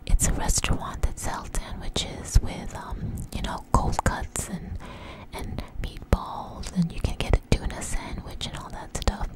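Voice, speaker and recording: Woman doing asmr storytime, breathy close-up whisper, takes her time and kinda stops and repeats bits. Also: rough recording. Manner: unhurried, halting, repeats phrases. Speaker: ASMR host. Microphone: close microphone.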